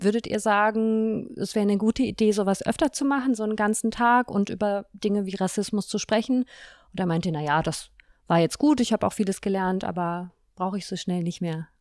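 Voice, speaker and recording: A woman reporter who asks gentle questions and reads scripted narration. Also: mid-range voice, normal speed, no echo, clean studio sound. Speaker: reporter, interviewer.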